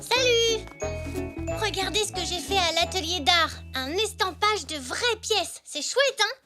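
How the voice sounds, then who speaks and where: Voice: extremely high pitch, animated, unhurried, over music. An actor voicing a curious, eager five-year-old boy zebra in a French children's cartoon. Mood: eager, proud. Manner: bright-eyed, eager, polite.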